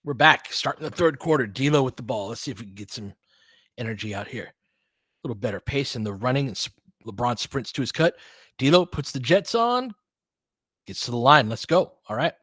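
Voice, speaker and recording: Male basketball commentator, mid pitch, dry close sound, casual host style with clipped play-by-play. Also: lively intonation, moderate pace, a bit bright, husky, no room sound. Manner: clipped, fragmentary play calls. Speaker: host doing fan play-by-play of a basketball game. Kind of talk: live sports commentary mixed with casual chat.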